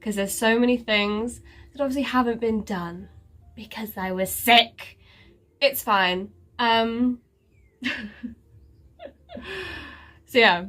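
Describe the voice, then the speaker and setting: A woman's voice: a little high, fairly bright tone. A woman vlogger giving a casual life update, her nose stuffy and congested, rambling and sarcastic about herself. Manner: sarcastic, self-mocking, rambling with many fillers.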